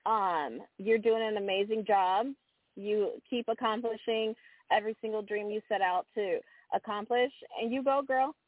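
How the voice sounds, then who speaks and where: A woman's voice: medium pitch, moderate pace, dry recording. Upbeat woman caller on a phone line. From Inland Empire, California. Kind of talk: call-in on the way to work. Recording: dry tinny phone line.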